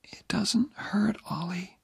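A man voicing a dying young woman who speaks in a weak, breathy, teasing whisper. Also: sits high, fairly even intonation, slow, no room sound, studio quality, pitched above the performer's own voice, full character voice. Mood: fragile.

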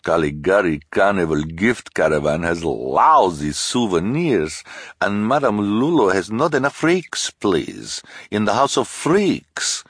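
A man's voice: sits low, sing-song, unhurried, slightly rough, dry recording, studio quality, voice pushed up, exaggerated voice. A man voicing a wheedling, theatrical female fortune-teller who speaks broken English with a strange foreign accent in an audiobook. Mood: complaining, pleading. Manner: broken English, keeps adding "please".

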